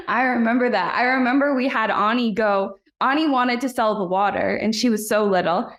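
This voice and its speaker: A woman's voice: a little high, unhurried, long pauses, highs cut off. A young adult woman guest-hosting a podcast, talking in a bubbly, giggly way with plenty of fillers. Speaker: guest host. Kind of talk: casual family chat.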